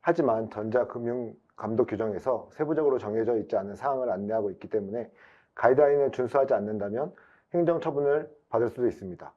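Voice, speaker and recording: A man's voice: mid-range voice, fast, muffled recording. A man giving a formal, explanatory prepared webinar presentation as an IT specialist.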